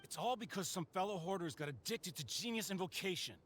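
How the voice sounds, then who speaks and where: A man's voice: sits high, lively intonation, fast talker, fairly bright tone, a little hiss or hum. An actor playing an indignant, blustering bandit henchman in a video game, informal and defensive. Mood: exasperated.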